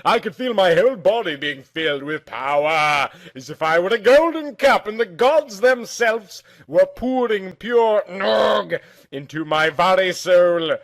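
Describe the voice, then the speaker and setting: A man's voice: high-pitched, expressive, unhurried, fairly bright tone. A man reading a first-person story aloud in a theatrical, emphatic narrating style.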